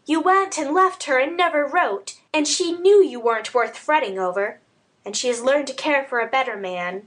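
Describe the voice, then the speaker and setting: A high, bright, sharp voice for a scheming stepmother, as a woman reader puts her on. Her pitch swings wildly as she talks, stubborn and commanding. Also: lively intonation, normal speed. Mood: desperate. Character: scheming stepmother, desperate and unscrupulous.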